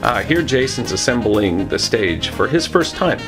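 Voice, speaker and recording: A man's voice: medium pitch, very animated, a little slow, over loud music. A man giving informal, encouraging instructional narration as a puppetry teacher, in a conversational way.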